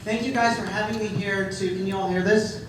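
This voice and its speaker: A man's voice: high-pitched, steady tone, brisk. Man joking at a mic in an echoey hall. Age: middle-aged. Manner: self-deprecating joking, many ums. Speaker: conference speaker. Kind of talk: humorous public talk. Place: large hall with audience. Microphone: handheld microphone. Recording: echoey hall, distant mic, background noise.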